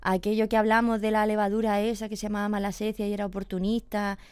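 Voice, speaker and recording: A woman's voice: medium pitch, little pitch movement, quick pace, a little mellow, clear, resonant tone, no room sound. A woman, a veterinarian explaining pet illnesses patiently on a radio chat, checking in with her listener as she goes. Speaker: veterinarian guest.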